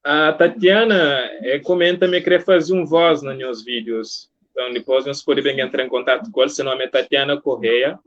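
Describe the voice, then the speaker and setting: A man's voice: medium pitch, moderate pace, few pauses, fairly bright tone. A man hosts a casual live interview, reading out viewer comments in an encouraging, appreciative way. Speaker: live-stream interview host. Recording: muffled lo-fi online stream.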